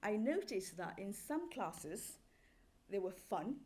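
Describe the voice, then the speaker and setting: A middle-aged woman from Malaysia giving a public lecture as a professor, speaking graciously with hesitant pauses. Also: mid-range voice, big pitch swings, darkish tone. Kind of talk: public lecture, semi-scripted. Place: lecture hall with a live audience.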